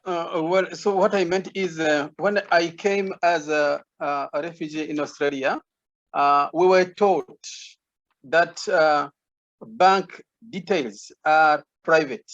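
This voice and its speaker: A man on a panel who explains his point carefully and haltingly, with many restarts, over a video call. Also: on the high side, fairly bright tone, lo-fi sound. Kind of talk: online panel discussion.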